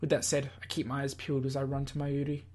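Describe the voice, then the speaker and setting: A man's voice: medium pitch, monotone, fast, darkish tone. A man reading a visual novel aloud in a theatrical, slightly stumbling way, as host of a gaming video. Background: game music.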